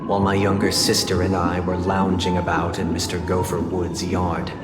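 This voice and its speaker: An actor playing a suave, formal idealist villain in a video game, speaking in a measured, grandiose way with deliberate pauses. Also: male voice, low voice, completely flat delivery, lightly acted. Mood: wistful, storytelling. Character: suave, solemn male idealist villain, orphaned elder brother. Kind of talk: theatrical video game voice acting. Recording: cavernous long reverb, loud music behind. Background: loud background music.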